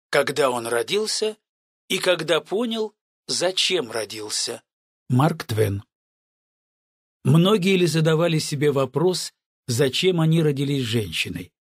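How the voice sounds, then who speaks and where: A man narrating an audiobook from a script in a measured, earnest way. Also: fairly high pitch, husky, dry recording, clean studio sound.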